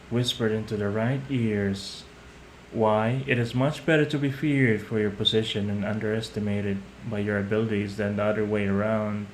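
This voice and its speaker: A man playing a young mafia heir in an audio roleplay, measured and polite on the surface, condescending and manipulative underneath. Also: fairly low pitch, close to an everyday voice. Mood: smug. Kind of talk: scripted audio roleplay addressed to the listener. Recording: roomy echo, some background noise.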